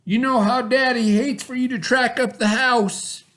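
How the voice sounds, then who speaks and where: A man voicing spoiled, bossy young cowboy stepbrothers in a shrill, drawling storybook voice. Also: fairly even intonation, unhurried, a bit bright.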